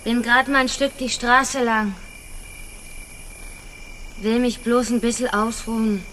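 A slight young woman drifting alone in a radio drama. Her voice sits a little high and she speaks hesitantly, with guarded politeness. Faint night noise with crickets lies behind her. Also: steady tone, slow, a bit bright. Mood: guarded, weary. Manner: hesitant, polite, evasive short answers. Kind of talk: radio drama acting in German.

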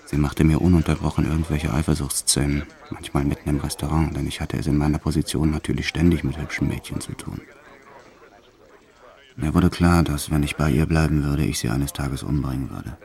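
An actor playing a brutal, proud French gangster who tells his life in measured, sombre radio play narration. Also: extremely low pitch, steady tone, mellow. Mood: detached, proud.

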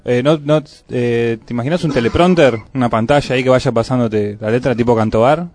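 A man with an Argentine Spanish accent hosting a casual radio interview, asking questions in a relaxed, halting way with repeated words. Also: medium pitch. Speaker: radio host. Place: radio studio.

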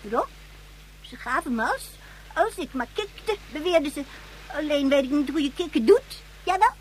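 Very high voice, bratty child, spiteful, blunt, radio drama, faint background noise. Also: female voice, expressive, moderate pace, low-quality recording. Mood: defiant, spiteful. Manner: petulant, blunt. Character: bratty, callous child leader, spiteful and defiant.

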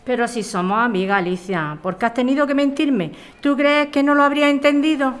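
A woman's voice: sits high, fairly even intonation, brisk, close to an everyday voice. A woman acting a firm, resolute neighbour facing eviction in an audio drama. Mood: reproachful. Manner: reproachful, firm. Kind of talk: audio fiction podcast acting.

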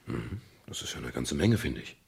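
Wry, laconic gumshoe, very low and creaky, radio crime drama. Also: male voice, big pitch swings, moderate pace, full character voice. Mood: reserved. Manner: dry, understated, laconic. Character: wry, easygoing male private detective, gentlemanly. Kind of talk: 1970s German radio crime drama, noir first-person narration and dialogue.

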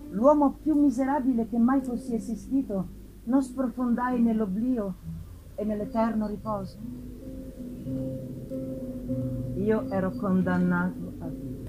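A woman voicing an anguished, guilt-ridden gentleman in a dramatic, halting reading of a gothic novel. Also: medium pitch, natural voice, poor audio. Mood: anguished, despairing. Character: anguished, guilt-ridden young gentleman recalling his torment.

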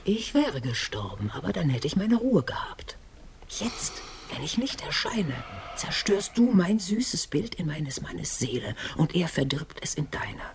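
An actress in a radio drama playing a dead woman's ghost, wistful and gently reproachful, often slipping into whispers. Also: sits low, very animated, measured pace, noisy background, full character voice. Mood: wistful, wounded. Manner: hushed, often drops into whispering.

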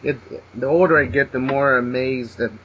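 A man's voice: medium pitch, steady tone, normal speed. Male podcast host, hesitant casual talker, muffled recording with slight noise. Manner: hesitant, stumbling restarts. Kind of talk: casual interview chat. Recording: dull lo-fi sound with faint noise.

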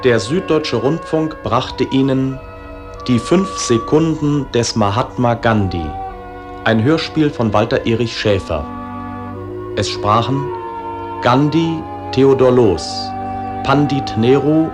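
A man announcing for radio, reading formally and deliberately from a script. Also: mid-range voice. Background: music.

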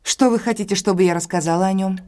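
A woman's voice: medium pitch, fast, warm tone, close to an everyday voice. An actress playing a proud, guarded, defensive woman in an audio drama, speaking formally and curtly. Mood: cold, guarded. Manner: formal, curt, indignant.

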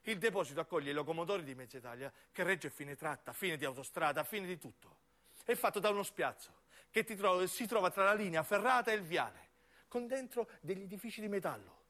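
A male actor delivers a scripted radio-theatre monologue. His voice is high and forceful, moving across a wide range. The phrasing is deliberate, emphatic and theatrical. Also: animated. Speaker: narrating stage actor.

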